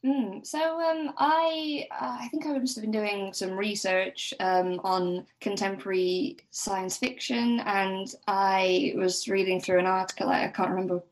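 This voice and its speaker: A woman's voice: medium pitch, fairly even intonation, measured pace, few pauses. A young woman answering interview questions casually as a podcast guest, in a hesitant, thoughtful manner.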